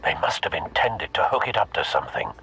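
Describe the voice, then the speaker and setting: Loyal older butler, formal, watchful, bright crisp tone, swinging pitch, game dialogue. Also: male voice, big pitch swings, a little fast, full character voice, low-quality recording. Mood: concerned, pondering. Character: loyal, concerned older butler assisting remotely. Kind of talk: video game voice acting.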